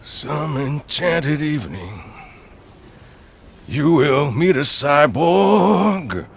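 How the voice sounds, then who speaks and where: An actor playing a gruff, wry, dying army sergeant in a video game cutscene, with gravelly, halting delivery. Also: male voice, a little high, big pitch swings, full character voice. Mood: crooning, theatrical.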